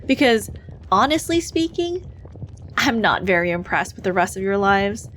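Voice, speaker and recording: A woman acts out a female demon bureaucrat who interviews souls for entry to hell. She is brisk, officious and dryly condescending, speaking at a medium pitch in an ASMR roleplay. Some noise sits behind the voice. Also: big pitch swings, a bit bright, poor audio. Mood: probing, unimpressed.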